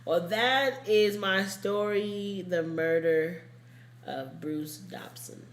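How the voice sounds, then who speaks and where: A woman's voice: medium pitch, slow pace, slight background noise. A woman co-hosting a podcast, chatting casually about true crime in a blunt, colloquial way with plenty of swearing. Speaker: podcast co-host. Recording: echoey room.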